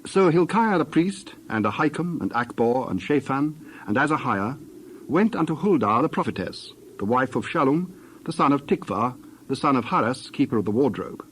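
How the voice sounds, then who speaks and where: A man's voice: medium pitch, sing-song, moderate pace, fairly bright tone, a little hiss or hum, rough recording. A man giving a scripted narration of scripture as the narrator of a dramatized reading.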